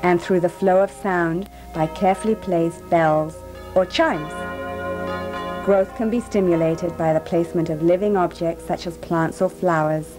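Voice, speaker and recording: A woman, a healthcare practitioner, giving calm, measured, scripted narration for a documentary. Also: lowish voice, over music.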